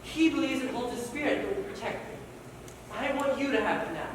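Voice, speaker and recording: Actor as high-voiced proud young man, far off. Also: animated, fairly quick. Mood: tender. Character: proud schooled Native young man, dismissive of tradition. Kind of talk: stage play acting. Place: theatre stage. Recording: echoey hall from far off, faint noise.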